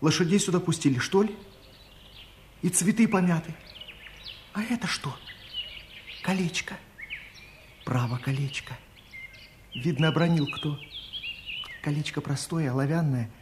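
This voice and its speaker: A woman playing a humble, tender young male gardener in a fairy-tale audio play, soft-spoken, bashful and earnest. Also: low-pitched, unhurried, pauses often, noisy background. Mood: musing. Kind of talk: theatrical fairy-tale radio play acting.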